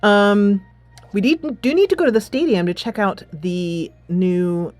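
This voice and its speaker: A woman hosting a casual let's play, chatting in an animated, giggly manner into a close mic. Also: medium pitch, measured pace, dry recording. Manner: animated, giggly, reads dialogue aloud. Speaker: gaming channel host.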